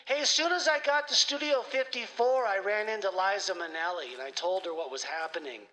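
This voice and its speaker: A man's voice: high-pitched, fairly quick, bright, forceful tone, low-quality recording. A man doing a jittery runaway clone calling in. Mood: triumphant. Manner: jittery, rambling, melodramatic. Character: frantic male clone on the run, paranoid. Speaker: caller. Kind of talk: comedy radio theater.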